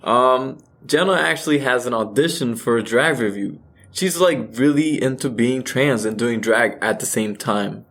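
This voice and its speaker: A man's voice: mid-range voice, normal speed, hardly any pauses, fairly bright tone. A man acting in a radio play as an easygoing, gossipy housemate, talking casually and matter-of-factly in natural dialogue.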